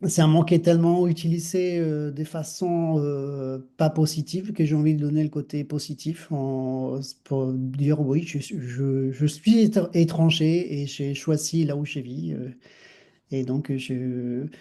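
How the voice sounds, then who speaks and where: A middle-aged man from Argentina with an Argentine accent, speaking thoughtfully as an interview guest, with many restarts and soft laughs. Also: on the high side, fairly even intonation, unhurried. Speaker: guest, dancer and actor. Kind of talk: reflective interview conversation.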